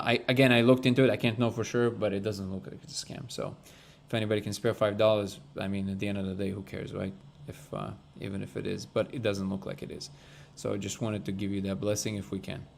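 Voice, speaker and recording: A man's voice: on the low side, creaky. A man who grew up in Russia, hosting a live discussion stream, chatting casually and earnestly with viewers and reading out their comments as he goes. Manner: rambling, restarts, animated and earnest. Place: Southern California.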